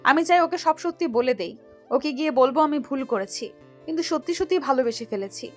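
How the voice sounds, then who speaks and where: A woman playing a lovesick, remorseful younger sister in an audio love story, speaking softly and earnestly in a pleading way. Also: sits high, animated, brisk, soft background music. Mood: hurt, earnest.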